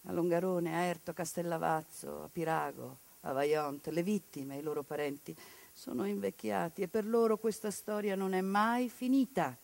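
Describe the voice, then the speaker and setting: A woman actress performing a theatrical monologue, narrating with passion and dramatic swings between hushed and loud delivery. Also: fairly low pitch, normal speed, creaky. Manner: impassioned, dramatic swings in loudness. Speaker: stage actress. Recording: radio broadcast of a stage piece.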